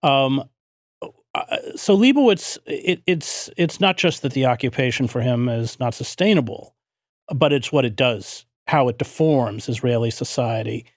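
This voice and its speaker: A man's voice: medium pitch, lively intonation, unhurried, dry recording, clean studio sound. A man interviewing a guest, asking long, hesitant questions.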